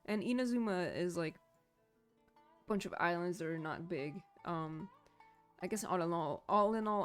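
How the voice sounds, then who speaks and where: A young woman streamer chats casually while she plays, wandering between thoughts with plenty of fillers and the odd curse. Her voice sounds a little shaky. Quiet game music plays underneath. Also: medium pitch. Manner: rambling, swears freely, many fillers. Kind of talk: casual gameplay commentary.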